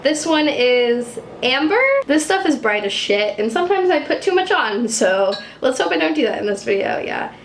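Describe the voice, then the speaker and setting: Chatty young woman with a low, husky voice. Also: expressive, normal speed, hardly any pauses, roomy sound, slight background noise. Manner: chatty and self-mocking, swears casually. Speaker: YouTuber doing a makeup tutorial. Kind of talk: casual sit-down chat while doing makeup. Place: apartment room.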